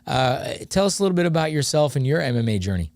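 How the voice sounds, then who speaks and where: A male host with a smooth, clear voice and a dry, close sound. He talks with enthusiasm and often stumbles and restarts mid-sentence. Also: fairly high pitch, sing-song, fast, a little mellow, no room sound. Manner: enthusiastic, repeats words, restarts. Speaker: interview host. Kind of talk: casual interview.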